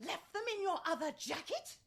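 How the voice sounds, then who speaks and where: A woman playing a proud, sharp-tongued agency boss in a radio comedy, sarcastic, haughty and emphatic. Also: very high voice, lively intonation, a little fast, creaky, exaggerated voice. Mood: scornful, sarcastic. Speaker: actor in a radio play. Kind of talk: theatrical radio comedy acting.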